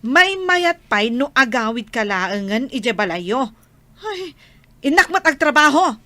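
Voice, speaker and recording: A fed-up Ilocano wife, voiced by a woman in a radio drama. She scolds sarcastically in a high, piercing voice, dragging out her words over a dry, close recording. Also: sing-song, unhurried, bright, forceful tone, dry recording, exaggerated voice. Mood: bitter sarcasm. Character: nagging, fed-up wife short of rent money.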